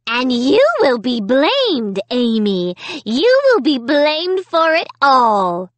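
Evil puppet villain for horror audiobook, played by a woman: raspy, whispery, commanding, very slow and menacing. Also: high voice, very animated, no room sound, pitched above the performer's own voice, heavily acted. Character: sinister living ventriloquist dummy, male, cruel and commanding. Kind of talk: dramatized children's horror audiobook.

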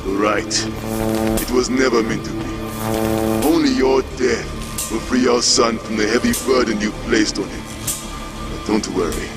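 An actor playing a cold, menacing masked assassin, speaking deliberately in a comic-book dub. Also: male voice, lowish voice, fairly even intonation, close to an everyday voice. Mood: cold menace. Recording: very loud music behind. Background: loud dramatic music.

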